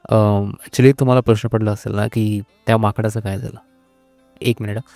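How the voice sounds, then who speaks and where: A man's voice: fairly low pitch, little pitch movement, normal speed, vocal fry, darkish tone, no echo, faint music behind. A man playing a cocky, sarcastic wolf who hosts a comedy podcast, mocking and hesitant, mixing in English words. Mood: smug. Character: cocky, sarcastic male wolf podcast host, secretly cowardly. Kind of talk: scripted comedy podcast monologue.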